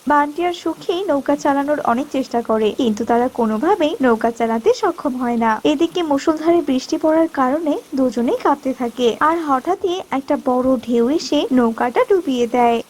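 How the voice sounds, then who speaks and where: Female cartoon narrator, scripted storytelling, very high pitch. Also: a little fast, few pauses, darkish tone, rough recording.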